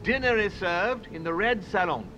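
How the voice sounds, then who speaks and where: An actor playing a deferential, hesitant manservant in a video game, formal and halting. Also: male voice, high-pitched, music in the background, natural voice. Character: deferential, hesitant manservant announcing to his master. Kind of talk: dramatic video game acting.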